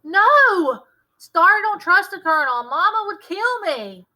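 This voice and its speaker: A woman's voice: extremely high pitch, sing-song, takes it slowly, sharp tone, voice pushed up, exaggerated voice. A woman voicing a panicky, gloomy young boy who wails and whines dramatically in a children's novel read-aloud. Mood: alarmed protest. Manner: dramatic, wailing and whining. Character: panicky, gloomy boy, easily scared, slow-thinking friend.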